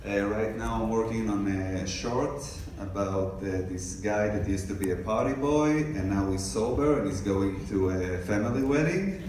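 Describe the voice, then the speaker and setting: A man's voice: on the low side, normal speed, few pauses, a little mellow, slight background noise. A young man from Israel with an Israeli accent, a filmmaker answering questions at a live Q&A, hesitant with many fillers. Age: young adult. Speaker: filmmaker guest. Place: large cinema stage. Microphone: handheld mic heard from the audience. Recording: echoey theater recorded from far.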